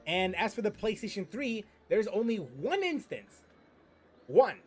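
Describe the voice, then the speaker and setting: A young man streaming games, chatting casually in a rambling, halting way and repeating phrases for emphasis. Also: high-pitched, big pitch swings, husky. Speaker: gaming streamer. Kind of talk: casual, rambling gameplay chat. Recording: game music behind.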